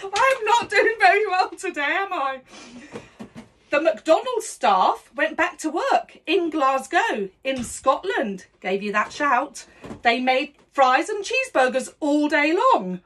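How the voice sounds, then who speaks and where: A woman in her fifties with a high, lively voice plays a prim but cheeky schoolteacher, singing and laughing. She takes her time and gives her words a singsong swing. She is recorded close up on a phone or webcam in a small room at home. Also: takes it slowly. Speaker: comedy host. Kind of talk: rude comic song and story parody. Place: small decorated room indoors.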